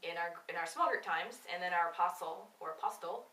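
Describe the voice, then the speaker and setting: Young woman vlogger, bright low-ish voice, chatty with fillers; roomy, distant recording. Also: brisk. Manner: chatty, many ums, laughs often. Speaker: mission trip vlogger. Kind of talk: casual chat. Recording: echoey room, mic at a distance.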